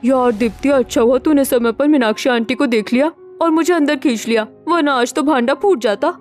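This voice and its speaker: A woman playing an easygoing young modern flatmate in cartoon story dialogue. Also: sits high, brisk, natural voice. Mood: relieved.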